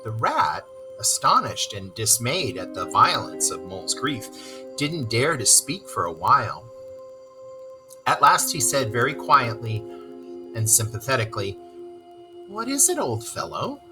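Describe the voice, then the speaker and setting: A man reading a storybook aloud as narrator, in an expressive storytelling style. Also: mid-range voice, a little slow, over music, low-quality recording.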